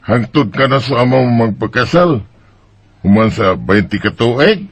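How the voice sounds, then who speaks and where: Actor as a slow, swooping teasing Bisaya buddy. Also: male voice, mid-range voice, animated, dry recording. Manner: prodding, skeptical questions with interjections. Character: teasing, nosy Bisaya male drinking buddy. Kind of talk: Bisaya radio drama acting. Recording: dry, dull lo-fi recording.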